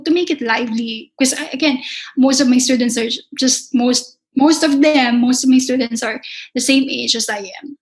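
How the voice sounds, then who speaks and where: A woman's voice: high-pitched, rough recording. A young woman from the Philippines with a Filipino accent, a culinary instructor talking casually in an interview, often repeating words as she searches for them. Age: young adult.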